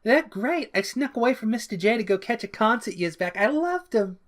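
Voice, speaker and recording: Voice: mid-range voice, lively intonation, normal speed, pitched above the performer's own voice, lightly acted. A narrator voicing a bubbly, mischievous, childlike young villainess who exclaims, teases and pouts playfully in an audiobook-style story. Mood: excited. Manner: exclaiming, teasing, playfully pouty.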